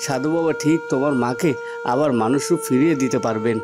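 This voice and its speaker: A man's voice: mid-range voice, fast talker, over music, close to an everyday voice. A man voicing an earnest, reassuring young prince in a cartoon, speaking in an encouraging way. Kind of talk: dramatic cartoon storytelling.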